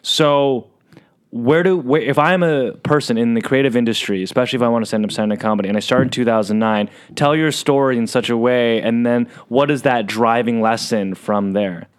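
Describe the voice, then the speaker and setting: A man's voice: mid-range voice, brisk, clean tone. A man hosting a casual interview, asking loose, rambling questions with an encouraging, enthusiastic manner.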